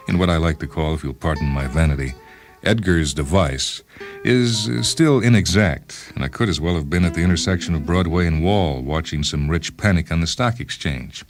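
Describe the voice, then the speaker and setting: A man plays an obsessive time-travelling inventor telling his own story. The voice is very deep, deliberate and pedantic, coolly self-absorbed. Music runs behind the narration. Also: natural voice. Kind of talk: first-person dramatized short-story narration.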